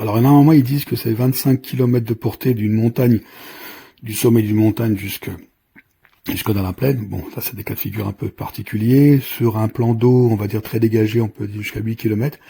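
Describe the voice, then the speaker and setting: Male product reviewer, casual and halting, with a mellow, gravelly voice. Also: lowish voice, a little hiss or hum. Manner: hesitant, halting explanation with trailing restarts. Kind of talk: casual hands-on product demonstration.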